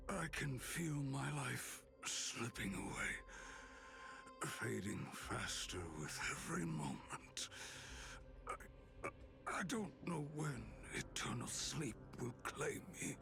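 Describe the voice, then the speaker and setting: A frail old priest for a video game, solemn and fading. He speaks very slowly in a breathy, gravelly voice over background music. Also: medium pitch, exaggerated voice. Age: older. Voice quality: breathy, weak.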